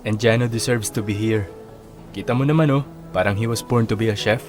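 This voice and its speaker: A man's voice: lowish voice, brisk, natural voice. An actor playing a friendly, polite young hotel worker, a man with an easygoing manner, in a radio drama. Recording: guitar music behind.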